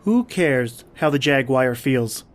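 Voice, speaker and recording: A man's voice: mid-range voice, expressive, slow pace. A man acting a confident, dismissive big-game hunter who narrates his ordeal in an old-time radio drama.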